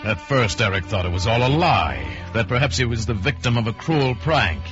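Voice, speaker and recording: A man's voice: mid-range voice, lively intonation, moderate pace. A man giving dramatic scripted narration for an old-time radio drama. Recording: dull vintage radio recording, music behind.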